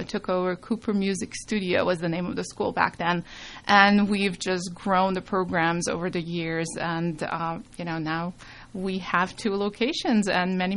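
A woman's voice: medium pitch, a bit bright, a little hiss or hum. A middle-aged woman born in Serbia, a music school founder chatting as a radio guest, with many ums. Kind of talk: casual radio interview.

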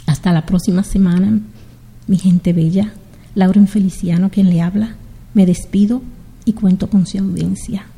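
A middle-aged woman from the Dominican Republic hosting a community radio show, speaking in an unhurried, heartfelt way as she greets her listeners. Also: medium pitch, fairly even intonation, gravelly, dark tone, breathy edge, big-room sound. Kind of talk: slow, warm community radio sign-off.

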